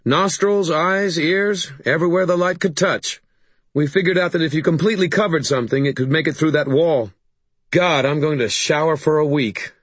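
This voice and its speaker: A man's voice: on the high side, normal speed, breathy edge, dry recording, pitched above the performer's own voice. A man voicing a tough, wry, plain-spoken woman in an audiobook, with an emphatic, matter-of-fact manner. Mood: wry, exasperated.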